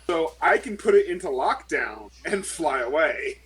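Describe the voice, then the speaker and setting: A man's voice: on the high side, very animated, measured pace, fairly bright tone, slightly rough, rough recording. A man playing a tabletop roleplaying game, answering with sarcastic, reluctant banter.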